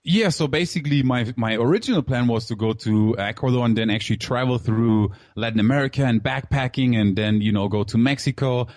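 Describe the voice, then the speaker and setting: A man's voice: mid-range voice, fairly quick, few pauses, darkish tone, dry recording, clean studio sound. A man who grew up in Germany, in his thirties, telling his life story in a casual interview with many fillers and an open, candid way of talking. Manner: candid, filler-heavy, calls host "man". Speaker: guest entrepreneur.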